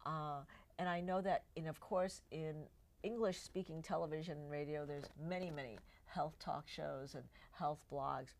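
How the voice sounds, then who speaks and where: A woman's voice: deep voice, steady tone, normal speed, a little hiss or hum. A middle-aged woman whose first language is Cantonese, hosting a health talk show and chatting with her guests, often asking them to agree. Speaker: eye doctor and show host. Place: TV studio.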